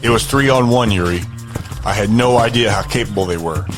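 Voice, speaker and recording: A man playing a cool, calculating fighter in an audio drama, talking with deliberate, philosophical calm. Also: fairly low pitch, over music, close to an everyday voice. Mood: cool, controlled. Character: TJ, cool calculating male fighter who prizes pain.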